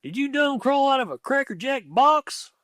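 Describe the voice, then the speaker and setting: Shrill, mocking old mountain lion. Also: male voice, animated, normal speed, no room sound, clean studio sound. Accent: rural Southern US. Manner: mocking, loud, folksy drawl. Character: mean, teasing old mountain lion, folksy bully.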